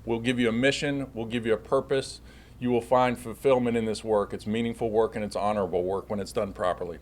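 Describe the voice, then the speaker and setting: A man's voice: lowish voice, fairly even intonation, fairly quick. A man speaking as a police chief at a public briefing with slides, often repeating and correcting himself as he goes.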